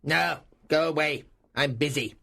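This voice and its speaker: A cold, miserly old man from a storybook, acted out by a male narrator, pitched on the high side. His voice is hard and he speaks in a curt, scornful way, brushing people off. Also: unhurried, gravelly, sharp tone, exaggerated voice. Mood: irritated.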